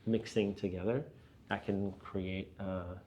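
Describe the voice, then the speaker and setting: A middle-aged man talking casually and reflectively in an interview as a leader, explaining things step by step in a halting, thoughtful way. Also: sits low, fairly even intonation, mellow, a little hiss or hum. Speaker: business and church leader being interviewed.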